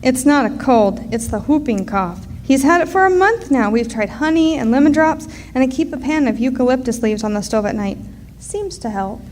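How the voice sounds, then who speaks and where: Woman as high-voiced, sharp-tongued miner's wife. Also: expressive, moderate pace. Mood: prickly. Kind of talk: live radio drama dialogue. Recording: faint noise, live stage recording.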